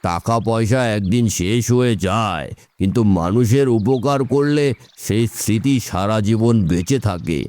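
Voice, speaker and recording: A man playing an earnest, seasoned village boatman, moralizing in an animated story. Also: fairly low pitch, moderate pace, no room sound, lightly acted. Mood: earnest, reflective.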